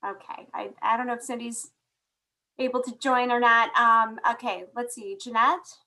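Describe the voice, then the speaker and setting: A woman's voice: a little high, fairly even intonation, normal speed, pushed and bright. A woman hosting a video call meeting, calling on people in turn with many fillers. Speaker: meeting host.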